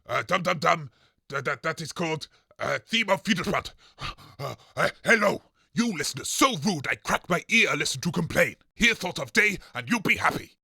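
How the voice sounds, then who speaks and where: A man voicing a dim, proud green monster head in an audio comedy, speaking halting, pompous broken English in a raspy voice. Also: fairly high pitch, animated, sharp tone, dry recording, clean studio sound, full character voice. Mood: eager, proud. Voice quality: gravelly, raspy. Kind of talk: audio comedy character acting.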